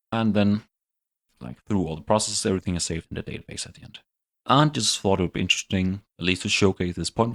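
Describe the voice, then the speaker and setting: A man hosting a programming channel, walking through his project in a relaxed, unscripted tutorial and thinking aloud with many fillers. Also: low-pitched, gravelly. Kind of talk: casual screen-recorded coding tutorial.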